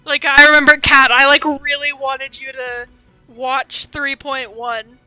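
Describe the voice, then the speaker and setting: A woman's voice: sits high, little pitch movement, a little slow, sharp tone, no room sound, faint music behind. A young woman streaming a game, chatting casually and rambling through asides as she comments.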